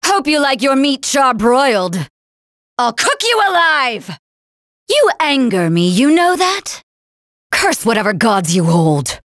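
Haughty, cruel lancer general. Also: female voice, sits high, big pitch swings, a bit bright, no room sound, clean studio sound, full character voice. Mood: menacing, angry. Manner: haughty, taunting, drawn-out theatrical delivery. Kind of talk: video game character voice lines.

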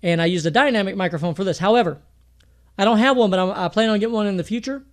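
Man, high thin voice, casual rambling tutorial talk, home studio mic. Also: racing. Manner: casual, rambling, with many fillers and restarts. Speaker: music producer teaching mixing. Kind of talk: screen-recorded tutorial talk.

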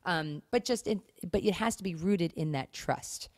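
A woman's voice: fairly low pitch, moderate pace, no room sound, studio quality. A woman co-hosting a casual podcast conversation, speaking emphatically and acting out imagined remarks. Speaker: podcast co-host. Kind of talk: casual conversational talk on marriage and parenting.

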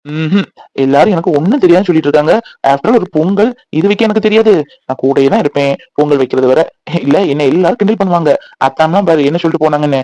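An actor playing an earnest, persistent young bridegroom in a Tamil serial, talkative and pleading in a boyish way. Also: male voice, on the high side, fast talker, warm tone, no echo, close to an everyday voice, rough recording. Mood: stubborn, chatty. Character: earnest, persistent young bridegroom devoted to his scornful wife.

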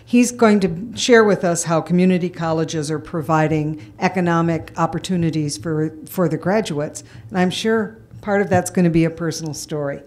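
A middle-aged woman hosts from a podium in a meeting room. Her voice is a bit low for a woman. She gives a formal introduction into the microphone. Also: normal speed, few pauses, darkish tone. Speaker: event host introducing speakers. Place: indoor college meeting room.

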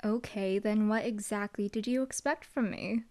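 A woman's voice: mid-range voice, steady tone, normal speed, a little mellow, natural voice. A young woman voicing a dry, teasing female hellhound demon bodyguard in an audio roleplay. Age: young adult. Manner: dry, teasing, laid-back.

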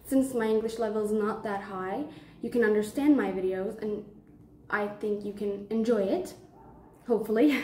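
A young woman with a Turkish accent, a non-native English speaker, chatting casually to camera as a student vlogger in simple, halting phrases. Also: a little high, warm tone, echoey room, slight background noise. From Turkey. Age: young adult. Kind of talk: casual vlog talk to camera.

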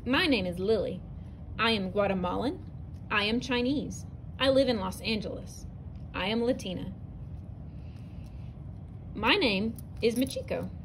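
A woman teacher reading a narrative poem aloud from a picture book, clear and expressive, with a few small restarts. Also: medium pitch, measured pace, long pauses, a little hiss or hum, rough recording. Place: indoors, at a table over an open book.

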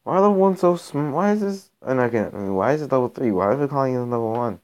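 A young man hosting a mobile game channel, his voice a bit raspy from being sick, rambling and cutting himself off as he comments on his matches. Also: mid-range voice, expressive, fast talker, a little mellow. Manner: rambling, self-interrupting, gets exasperated during play. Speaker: gaming channel host. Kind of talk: casual gameplay commentary.

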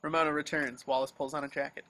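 A man's voice: fairly high pitch, level tone, fast, pushed and bright. A man narrating the action lines of a film script at an amateur table reading. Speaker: reader of stage directions. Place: remote video call.

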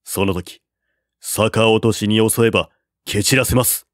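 A man voicing a calm, shrewd male strategist who counsels in a measured, persuading way, in a storybook reading. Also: on the low side, no echo, studio quality, lightly acted.

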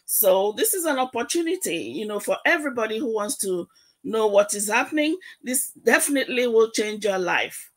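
A woman guest on a video call, earnestly urging listeners, repeating herself and pausing to search for words. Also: fairly high pitch, very animated. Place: home room. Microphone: webcam on video call.

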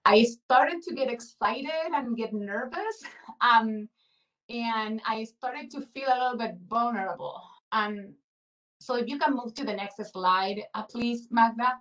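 A woman's voice: medium pitch, fairly bright tone. Reflective woman speaking from a distance. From Chile. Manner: reflective, many fillers and restarts. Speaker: guest speaker. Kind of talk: webinar presentation. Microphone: video call.

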